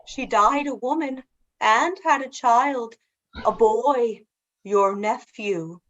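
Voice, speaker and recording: A woman playing a solemn, probing ghostly spirit guide in a radio play, measured and questioning, on a dull recording. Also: fairly high pitch, a bit bright.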